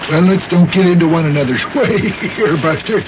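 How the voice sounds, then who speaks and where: An actor playing an excitable, food-loving mayor in a children's radio play, blustering and drawing out his exclamations. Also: fairly high pitch, close to an everyday voice. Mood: eager, hungry. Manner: blustery, stammering, drawn-out exclamations. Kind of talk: old-time children's radio acting. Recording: muffled, noisy old radio recording.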